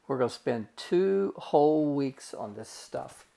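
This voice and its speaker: A man's voice: medium pitch, expressive, slow, creaky, mellow. A man teaching a recorded math lesson, working through calculations step by step in a folksy way and calling his students guys and gang.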